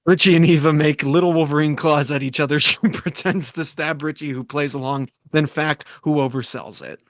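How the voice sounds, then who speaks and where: Male writer reading stage directions, plain and brisk, in a dry room. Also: fairly high pitch, fairly even intonation, no echo. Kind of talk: reading a screenplay aloud.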